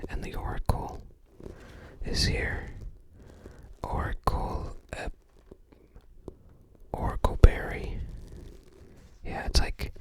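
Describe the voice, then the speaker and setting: An ASMR creator whispering in short, hesitant, rambling phrases, close to the microphone. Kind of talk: whispered ASMR book browsing.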